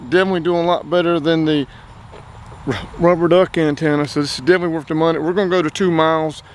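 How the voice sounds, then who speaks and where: A man with a North Carolina accent hosting a casual outdoor radio test, talking in a relaxed, chatty way and explaining as he goes. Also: on the high side, a little hiss or hum. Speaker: outdoors channel host. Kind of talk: casual gear-test vlog. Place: outdoors by a car.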